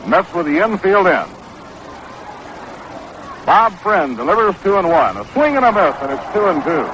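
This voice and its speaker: A man calling baseball play-by-play on 1960s radio, measured and descriptive, on an old broadcast recording. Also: high-pitched, big pitch swings. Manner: measured, descriptive calls that quicken on action. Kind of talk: 1960s live radio sports commentary. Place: outdoor ballpark broadcast position. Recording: noisy, dull vintage 1960s radio broadcast.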